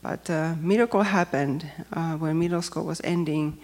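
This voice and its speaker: Hesitant Finnish woman with a gravelly voice. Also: low voice, darkish tone. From Finland. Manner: hesitant, careful non-native English with frequent pauses. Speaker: church member giving testimony. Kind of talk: personal testimony speech.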